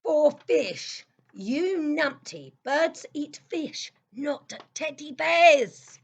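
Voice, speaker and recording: A woman playing an excitable, fearless little boy with a cocky, playful manner in a children's audio play. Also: high voice, very slow, dull audio, exaggerated voice. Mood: cheeky, breezy.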